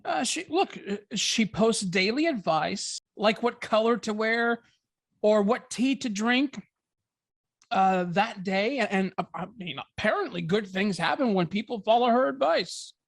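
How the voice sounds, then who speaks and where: A woman's voice: mid-range voice, animated, a little slow, no room sound, clean studio sound. A woman playing a supportive, joking young boyfriend in a script table read, casual, hesitant and stammering. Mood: supportive, earnest.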